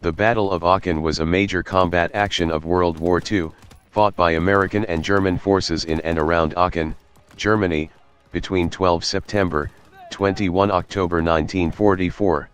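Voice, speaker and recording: A man's voice: low-pitched, fairly even intonation, moderate pace, smooth, faint music behind. A man reading formal, scripted history documentary narration.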